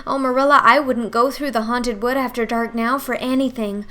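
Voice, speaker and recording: A woman's voice: a little high, fairly even intonation, normal speed, sharp tone, voice pushed up. A woman playing an imaginative, eager and easily frightened young girl in a storybook reading, breathless and theatrical. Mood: dramatic dread.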